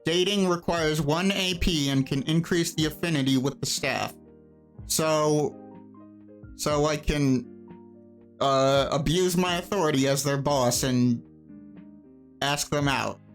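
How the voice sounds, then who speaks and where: Slow-talking game streamer over music. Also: mid-range voice. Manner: wry, playful asides on the text. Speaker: host playing and reading aloud a visual novel. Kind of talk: casual let's-play commentary with read-aloud dialogue.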